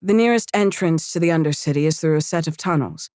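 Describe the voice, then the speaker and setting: A woman's voice: sits low, quick pace, a little mellow, no echo, studio quality, close to an everyday voice. An actress playing a seasoned female leader of fantasy fighters in an audiobook, curt, commanding and matter-of-fact. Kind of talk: audiobook character acting.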